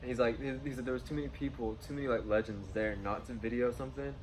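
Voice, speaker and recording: A fast-talking man chats casually as an excited movie fan and co-host. He speaks informally and slips into quoted lines. There is noise in the background. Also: medium pitch, rough recording. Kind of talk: casual excited fan chat about a film.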